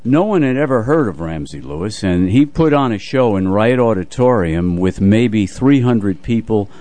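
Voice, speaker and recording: An older man co-hosting a radio show, casually telling anecdotes about music in an unhurried way. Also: on the low side, lively intonation, mellow.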